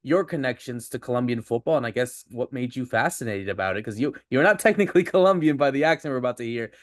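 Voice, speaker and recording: A man hosting a football podcast, introducing and questioning his guest in an upbeat, energetic way. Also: mid-range voice, fast talker, no room sound. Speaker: podcast host.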